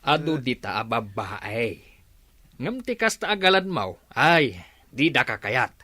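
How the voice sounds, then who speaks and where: A man's voice: mid-range voice, big pitch swings, a little slow, bright, forceful tone, no room sound, exaggerated voice. A man playing an exasperated older village father in a comedy radio drama, nagging emphatically. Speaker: actor.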